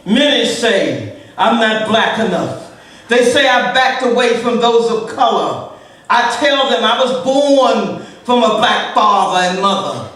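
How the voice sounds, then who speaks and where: A middle-aged woman performing spoken-word poetry with a loud, emotional delivery, chatting between her pieces. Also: fairly high pitch. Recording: echoey room, distant mic.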